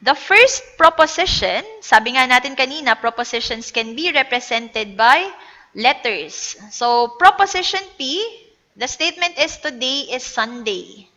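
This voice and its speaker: A woman's voice: high voice, animated, a little slow, pushed and bright, dull audio. A woman with a Filipino accent giving a recorded lecture, explaining patiently and mixing English with Tagalog. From Philippines. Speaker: teacher. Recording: echoey reverb.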